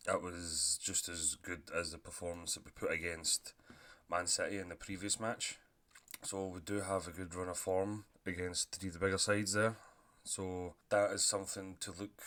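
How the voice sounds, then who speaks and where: Male gaming commentator, deep voice, sore throat, relaxed chatty delivery with frequent throat-clearing. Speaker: gaming YouTuber. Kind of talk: casual commentary over a football management game.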